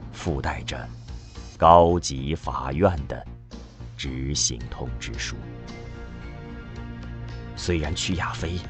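A man giving solemn, expressive scripted narration for a science fiction audio drama. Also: low-pitched. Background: music.

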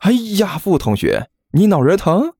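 A man's voice: on the high side, huge pitch swings, moderate pace, a little mellow, dry recording, clean studio sound, voice pushed up, exaggerated voice. A man voicing a smug, chubby male student in an audiobook, drawling with mock concern as he needles his rival. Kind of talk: audiobook character acting.

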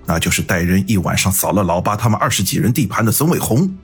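Audiobook role: hard middle-aged underworld man as a narrator acts him, deep, gruff, heavily rasping, music underneath. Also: expressive, fast talker, exaggerated voice. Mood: stern, dismissive. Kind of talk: audiobook character acting.

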